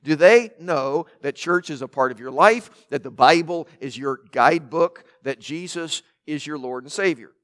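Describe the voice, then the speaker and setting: A pastor preaching a sermon, emphatic, with rhetorical questions and building lists, and a naturally loud voice. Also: male voice, on the high side, moderate pace, hardly any pauses.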